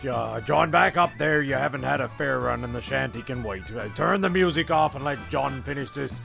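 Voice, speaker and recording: Male actor as a stammering, gravelly emcee over music. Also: medium pitch, a little fast. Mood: hesitant. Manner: stammering, hesitant.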